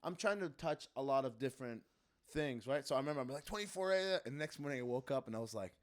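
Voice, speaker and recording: A man's voice: medium pitch, lively intonation, fast talker. A man chatting casually on a podcast, rambling, swearing and acting out the lines he quotes. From Germany and the United States. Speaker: podcast guest and amateur stand-up comic. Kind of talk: casual podcast chat with anecdotes.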